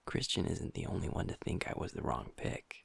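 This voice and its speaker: A man voicing a troubled young werewolf who is his pack's second in command, speaking softly and hushed, swearing and criticizing himself in an intimate audio roleplay. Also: a bass voice, animated, brisk, creaky, slightly rough, no room sound, exaggerated voice. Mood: frustrated. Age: young adult.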